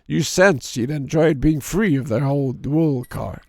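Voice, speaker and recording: A hoarse man in his thirties with a sore throat, streaming a game and reading its story text aloud in a deeper storyteller voice. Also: measured pace, warm tone, no echo. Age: 30s. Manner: drops into a deep storyteller voice for the narration.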